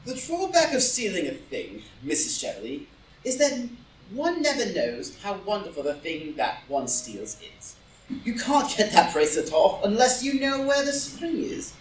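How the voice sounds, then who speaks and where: A man playing a witty, cool aristocratic gentleman, dry and commanding, in an audio drama reading recorded at some distance in a room. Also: high voice, huge pitch swings, moderate pace, a little hiss or hum. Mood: coolly mocking. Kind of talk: live group reading of a stage play as audio drama. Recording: roomy, distant group reading with faint music.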